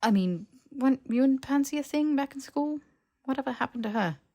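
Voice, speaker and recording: A woman reads as a stubborn young male wizard in an audiobook. The pitch is lifted, and he sounds earnest and incredulous, pressing his questions insistently. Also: lively intonation, a little fast, darkish tone. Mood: puzzled, reasoning.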